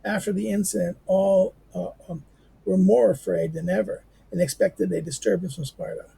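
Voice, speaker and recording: A hoarse man, a teacher, reading a history text aloud in a halting way with many fillers and restarts, then adding his own remarks. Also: sits high, warm tone, low-quality recording. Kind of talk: halting read-aloud with commentary.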